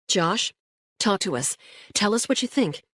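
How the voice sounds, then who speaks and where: A woman reading an audiobook and voicing a calm adult woman investigator who speaks in a steady, reassuring way. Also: medium pitch, unhurried, no room sound, studio quality, pitched above the performer's own voice. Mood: questioning.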